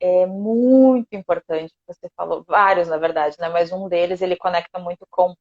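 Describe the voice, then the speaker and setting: A woman's voice: on the low side, dull audio. A woman who mentors others in communication, a guest on a live video call, explaining her method step by step and rephrasing her points as she goes. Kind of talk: explanatory conversation.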